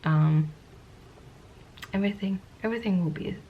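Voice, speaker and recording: A woman vlogger with a sniffly cold, chatting to the camera in a soft-spoken, rambling, hesitant way. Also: medium pitch, moderate pace, highs cut off, a little hiss or hum. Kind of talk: casual talking-to-camera vlog.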